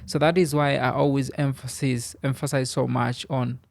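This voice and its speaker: A man's voice: medium pitch, flat delivery, moderate pace, gravelly. A man talking as a guest on a podcast in a studio, rambling loosely and packing his speech with "you know" and "I mean.". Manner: rambling, filler-heavy, many "you know"s. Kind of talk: casual interview chat.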